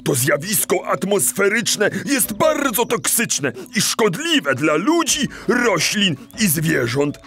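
A man's voice: high-pitched, big pitch swings, slow, hardly any pauses, a bit bright, soft background music, exaggerated voice. A man playing a kindly animal teacher in a children's audio play, encouraging and didactic, with small stammers. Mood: earnest, proud. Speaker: teacher leading a children's lesson.

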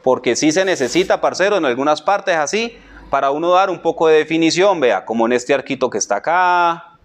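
A Colombian man with a high-pitched voice talks through a barbering tutorial. He speaks in loose street slang, repeating little phrases and addressing the listener as a buddy. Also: lively intonation, a little fast, slight background noise. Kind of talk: casual hands-on tutorial narration.

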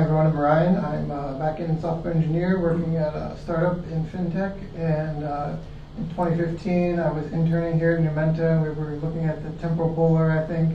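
Male engineer, slightly high mellow voice, informal introduction, muffled distant pickup. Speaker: software engineer, meetup attendee. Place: indoor meetup room. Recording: distant, muffled, echoey room, faint noise.

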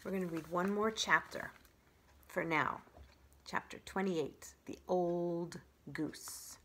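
A middle-aged woman reading a children's story aloud in an expressive storytelling style. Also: on the low side, slow pace. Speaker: children's library story reader.

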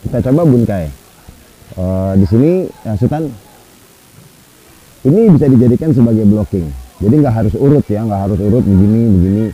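A man in his forties, a karate instructor, explaining techniques in an easygoing way with frequent filler pauses. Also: fairly low pitch, muffled recording, slight background noise. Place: outdoor café courtyard at night.

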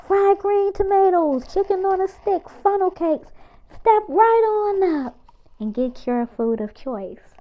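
A woman reads a children's picture book aloud, stretching each line out very slowly in a sing-song voice. Her voice sits fairly high and she points out signs eagerly for young listeners. The recording is a bit dull and lo-fi. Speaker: children's storyteller.

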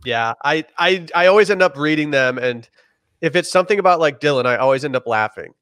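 A man's voice: a little high, lively intonation, a little fast, fairly bright tone, clean tone, no echo. Young man, podcast host, casual chat, laid-back, stammered restarts, dry sound, video call from home. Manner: laid-back, repeats words when starting a thought. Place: home room, indoors.

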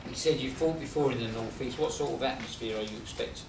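A man's voice: medium pitch, fast talker. A middle-aged man, a boxing promoter chairing a press conference and talking informally. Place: room press conference at a long table. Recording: roomy, distant mic, clear background noise.

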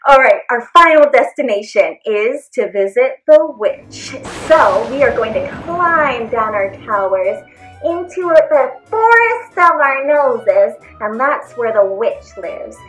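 Upbeat 30s lady doing a kids drama lesson, slowish, echoey room, faint music. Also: a little high. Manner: animated, encouraging. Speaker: theatre performer teaching character voices. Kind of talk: instructional video for children. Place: small indoor room.

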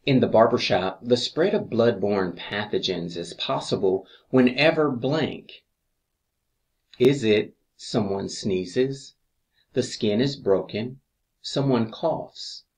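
A man reading scripted exam review questions as an instructor, deliberately, pausing between each answer choice. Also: mid-range voice, lively intonation, darkish tone.

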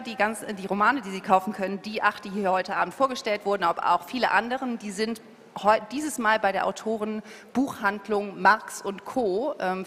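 A woman's voice: mid-range voice, a bit bright. Woman in her forties, event moderator, composed and articulate, handheld mic, reverberant hall. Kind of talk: onstage interview and event closing. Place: large hall with audience.

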